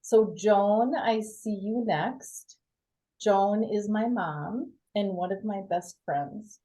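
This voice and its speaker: A woman's voice: mid-range voice, takes it slowly, warm tone, low-quality recording. A woman hosting a committee meeting on a video call, calling on each member by name. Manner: friendly, calls on people by name. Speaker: meeting host.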